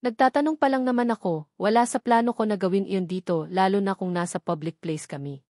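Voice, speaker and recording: A woman's voice: mid-range voice, brisk, a little mellow, no echo, clean studio sound. A woman with a Filipino accent narrating a romance novel in the first person. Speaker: audiobook narrator.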